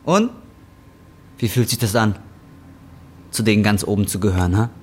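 A man's voice: fairly low pitch, lively intonation, moderate pace, slight background noise, close to an everyday voice. An actor playing a bitter, defiant, broke injured roofer in his twenties, in a German radio drama. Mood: sullen, provoking. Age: 20s. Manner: embittered, colloquial, confrontational. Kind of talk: German radio drama acting and brooding first-person narration.

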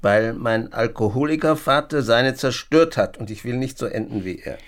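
Radio drama grandfather, male actor: older, wounded and sardonic, curt delivery, mid-range pitch, naturalistic. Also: normal speed, close to an everyday voice. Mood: gruff, guarded. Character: gruff, wounded old grandfather, sardonic and guarded. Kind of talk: naturalistic German radio play dialogue.

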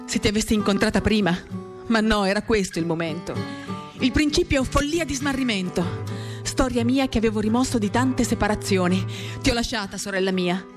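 A woman giving a dramatic reading of a literary first-person monologue, measured and expressive, in short phrases. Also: medium pitch. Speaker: reader of a novel for radio theatre. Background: acoustic guitar.

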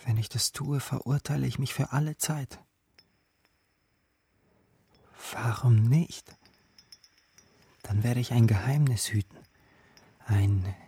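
An actor playing a troubled, self-loathing young man from a poor background in a German radio play, with hesitant, dramatic pauses. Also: mid-range voice, mellow, husky, heavily acted. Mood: dark, brooding. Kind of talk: German radio play acting with inner monologue.

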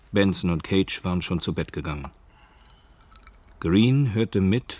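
A deep male voice over faint music. measured, composed narration for a radio crime story, matter-of-fact and literary. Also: darkish tone, slightly rough.

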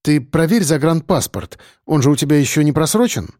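A man's voice: fairly high pitch, expressive, a little mellow, dry recording, studio quality, lightly acted. A man playing a harried grown son in an audiobook story, insistent and impatient with his mother. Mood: hurried, evasive. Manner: hurried, breathy exhaling, insistent.

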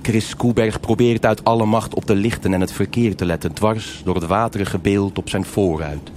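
A man narrating a live noir radio drama in a measured, formal storytelling style. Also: on the low side, fairly even intonation. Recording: live stage radio play over loud music.

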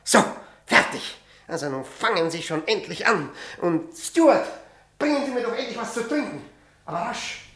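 A man playing a highly strung, impatient doctor gripped by chess mania in a radio drama, agitated and repeating himself. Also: expressive, moderate pace, pushed and bright, heavily acted. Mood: impatient, scornful. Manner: agitated, stammering, repeating words.

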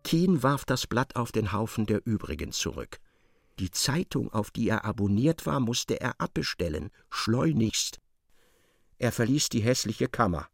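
Male narrator, literary radio play, lively intonation, medium pitch, dry studio sound. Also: unhurried, vocal fry, no echo.

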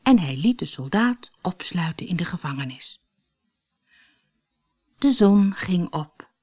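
A woman reading a fairy tale as an audiobook storyteller, expressive and dramatic in her scripted narration. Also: lowish voice, takes it slowly, slightly rough.

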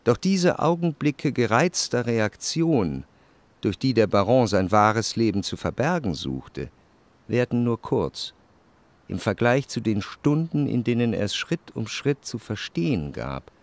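Refined gentleman narrator in a radio play, measured and analytical, mid voice, super dry close sound. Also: medium pitch, big pitch swings, dry recording. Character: reflective first-person male narrator, refined, observant gentleman.

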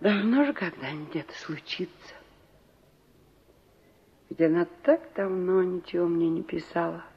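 An actress playing a middle-aged, complaining village aunt in a 1960s radio play, emphatic and full of rhetorical questions. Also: female voice, fairly low pitch, measured pace, long pauses, husky. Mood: brooding. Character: exasperated village aunt fretting over her stubborn niece. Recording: dull vintage radio recording.

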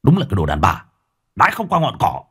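Man voicing gruff scheming village man, low muttering voice, storybook reading. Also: huge pitch swings, fast, heavily acted.

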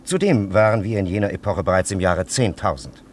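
An actor playing a solemn, condescending elderly scholar from antiquity in a radio play, with archaic and over-courteous diction. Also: male voice, low-pitched, lively intonation, fairly quick, a little hiss or hum. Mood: offended, condescending. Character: solemn, condescending ancient scholar and time traveller, elderly man.

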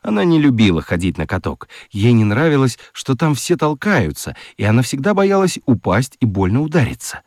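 A man narrating a children's radio story expressively, with theatrical emphasis and dramatic pauses. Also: medium pitch, big pitch swings, a little mellow, dry recording, studio quality. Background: music.

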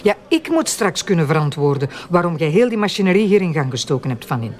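High-voiced, sarcastic Flemish man in authority. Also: very animated, quick pace. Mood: exasperated, sarcastic. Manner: sarcastic, reproachful. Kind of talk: radio drama acting.

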